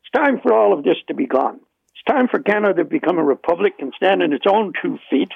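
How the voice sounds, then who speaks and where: A man with an Irish accent and a gravelly, husky voice, giving an impassioned opinion as a caller on a phone line. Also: high voice, expressive, fast, no room sound. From Ireland. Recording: narrow tinny phone line.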